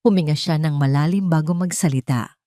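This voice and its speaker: Filipino lady narrating a drama story, deep-ish voice, really dry sound. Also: brisk, warm tone, no echo.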